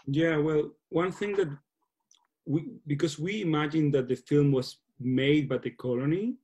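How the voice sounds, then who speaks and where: Mellow-voiced man, halting English. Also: fairly high pitch. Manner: halting, searching for words. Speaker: filmmaker being interviewed. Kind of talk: casual Q&A on a video call. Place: small room at home. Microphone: laptop video call.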